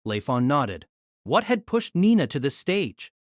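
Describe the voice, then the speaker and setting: A man narrating a novel aloud in an expressive storytelling manner. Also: a little high, measured pace, no room sound, studio quality.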